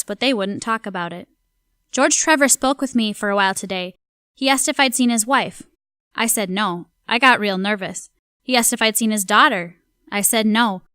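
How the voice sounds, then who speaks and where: A woman's voice: medium pitch, normal speed, pushed and bright, clean tone, dry recording, studio quality, lightly acted. A woman playing a timid, kind-hearted household servant, reading her journal in an audio drama in a simple, confiding way. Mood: nervous, confiding.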